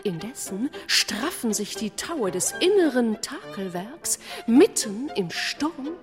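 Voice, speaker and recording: A woman narrating a radio play in a solemn, poetic, dreamlike way, measured and incantatory. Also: a little high, sing-song, music in the background.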